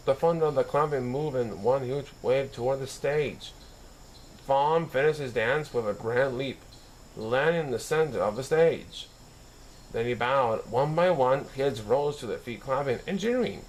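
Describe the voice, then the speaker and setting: Man reading a story aloud, very animated pitch. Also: slight background noise, rough recording. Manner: expressive reading with occasional stumbles over words. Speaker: storybook reader. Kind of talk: scripted story narration read aloud.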